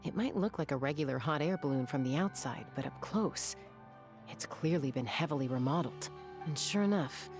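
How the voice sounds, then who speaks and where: Composed game gunslinger, young woman, low voice, deliberate, matter-of-fact, music behind. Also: animated. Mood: assured, analytical. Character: cool, self-assured young woman gunslinger and investigator. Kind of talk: video game voice acting.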